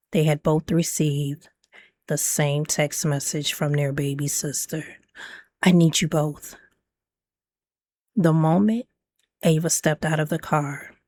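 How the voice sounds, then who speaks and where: A woman narrating a scripted dramatic story in a measured way, with deliberate pauses. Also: sits low, darkish tone, no echo, studio quality.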